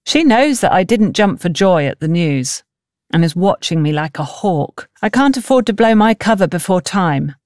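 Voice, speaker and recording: A woman's voice: fairly low pitch, very animated, normal speed, darkish tone, dry recording, clean studio sound. A woman voicing a wary, secretive teenage village girl in an audiobook story. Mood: guarded. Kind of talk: audiobook character dialogue.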